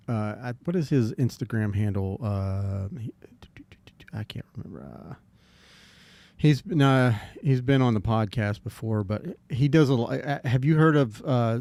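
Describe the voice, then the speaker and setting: A man's voice: mid-range voice, darkish tone, no room sound. A man from Jacksonville, hosting a podcast in casual, rambling chat. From Jacksonville, Florida. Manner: rambling, self-described redneck, drawn-out storytelling. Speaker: podcast host.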